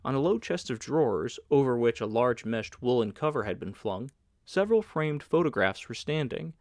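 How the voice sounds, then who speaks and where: A man's voice: medium pitch, expressive, moderate pace, darkish tone, clean studio sound. Male audiobook narrator for literary fiction, mid-range voice, scripted read, no room reverb.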